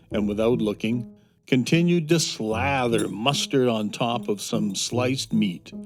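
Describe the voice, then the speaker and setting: Slow-paced male children's story narrator. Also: medium pitch. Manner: measured, expressive storytelling. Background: soft music.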